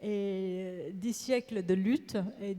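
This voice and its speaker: Panel writer, woman, Vietnamese-born; halting delivery, dark tone, long stage reverb. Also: mid-range voice. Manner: halting, searching for words, many restarts. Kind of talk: panel discussion. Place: large theatre on stage.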